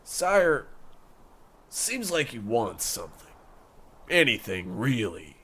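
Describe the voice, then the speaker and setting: A man playing a reluctant, matter-of-fact king's page in a comedy radio play, with a deadpan manner. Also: medium pitch, takes it slowly, husky, exaggerated voice.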